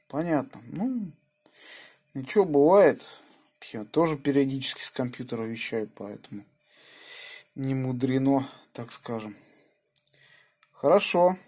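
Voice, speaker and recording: A man makes a casual amateur radio contact. He speaks slowly at a medium pitch and spells out call signs with names. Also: darkish tone, husky. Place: indoors at a home radio desk.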